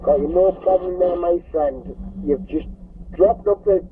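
A man's voice: sits high, unhurried, husky. A man with a Northumbrian English accent making contact as a CB radio operator. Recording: noisy, muffled CB radio transmission.

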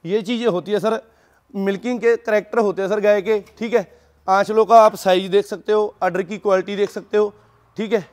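Guy w a pretty high voice pitching dairy cows, says sir all the time, informal sales talk. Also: fairly even intonation, normal speed, darkish tone. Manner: repeatedly addresses the listener as sir. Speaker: dairy cattle seller. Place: dairy farm.

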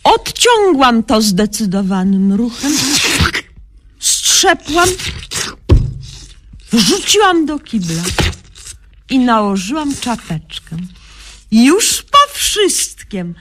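Slow, swooping, pompous young lady doctor. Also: a little high, sing-song, a bit bright, exaggerated voice. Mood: smug, solemn. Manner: mock-solemn, pompous, mangling learned words. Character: self-important young woman doctor, cheerfully incompetent, narrating her diary. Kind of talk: satirical radio sketch acting.

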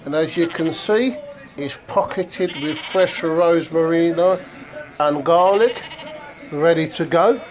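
A man's voice: fairly high pitch, slow, poor audio. A man giving a casual, jokey running commentary on his home cooking like a showman chef. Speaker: home cook presenting his food. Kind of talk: casual cooking video narration. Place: home kitchen. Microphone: handheld phone behind the camera.

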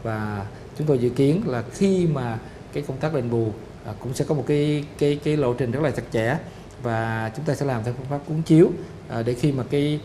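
A middle-aged man explaining a city project in a formal interview, measured and full of "ờ" pauses. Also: medium pitch, warm tone, a little hiss or hum. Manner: measured, explanatory, frequent "ờ" fillers. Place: studio office set with photo wall. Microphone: lapel mic.

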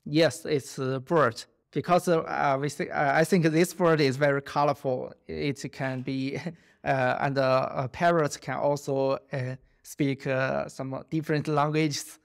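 A man in his thirties whose first language is Chinese, answering interview questions on a video call, halting with many fillers and restarts. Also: on the high side, level tone, creaky. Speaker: guest researcher. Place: home office.

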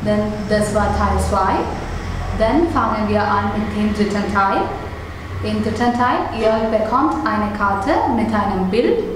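A young woman from Kerala with a Malayalam accent, a German teacher conducting a mock speaking exam and giving slow, careful instructions. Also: mid-range voice. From Kerala, India. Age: 20s. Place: echoey classroom. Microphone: camera across the desk. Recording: very echoey room with faint hum.

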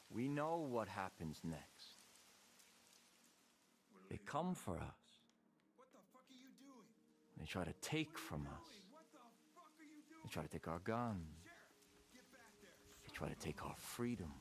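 An actor playing an intense, messianic cult leader in a video game, delivering a dramatic sermon with deliberate, repetitive rhetoric. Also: male voice, low voice, big pitch swings, heavily acted. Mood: ominous, slow. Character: intense messianic male cult leader preaching to his flock.

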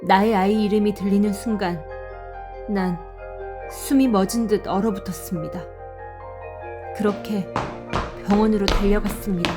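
A woman narrating a scripted audio drama and voicing the mother, with a soft, measured, sorrowful reading. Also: medium pitch, fairly even intonation. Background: faint music.

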